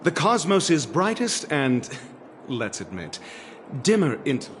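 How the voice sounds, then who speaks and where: An actor playing a proud, brainy male scholar who speaks in a brisk, self-assured manner. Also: fairly high pitch, big pitch swings, a little hiss or hum. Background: game music.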